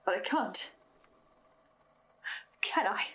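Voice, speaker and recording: A woman delivering a scripted, dramatic video log monologue with ominous pauses for effect. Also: medium pitch, lively intonation, sharp tone. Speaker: host of a listener's video log. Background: music behind the voice.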